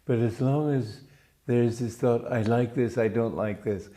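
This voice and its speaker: An older man from Romania with a mixed English-American accent, giving a calm spiritual talk with stories. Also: mid-range voice. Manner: calm, measured, storytelling. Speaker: spiritual teacher.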